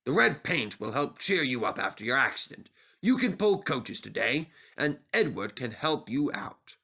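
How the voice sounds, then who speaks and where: Man as a genial, animated railway boss. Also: medium pitch, moderate pace, vocal fry, husky, exaggerated voice. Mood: reassuring.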